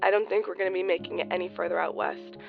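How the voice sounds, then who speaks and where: A woman's voice: fairly low pitch, steady tone, quick pace, creaky, narrow, tinny audio. A woman playing a tender, anxious mother leaving a hesitant farewell message in a radio drama. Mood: fearful, loving. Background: plucked guitar music.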